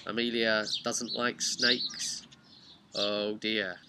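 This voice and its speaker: A man's voice: lowish voice, flat delivery, very slow. A man working as a game master, casually recapping a tabletop role-play session in a rambling, conversational way. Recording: clearly noisy, birdsong behind.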